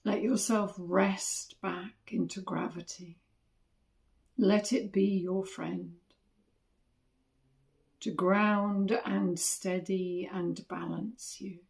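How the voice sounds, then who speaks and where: A woman meditation teacher leading a guided body scan in a gentle, soothing manner, with long pauses between short phrases. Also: medium pitch, steady tone, gravelly.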